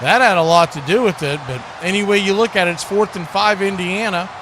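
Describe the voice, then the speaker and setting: A man's voice: high voice, fairly quick. A man doing live play-by-play commentary of a football game as the announcer. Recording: clear background noise.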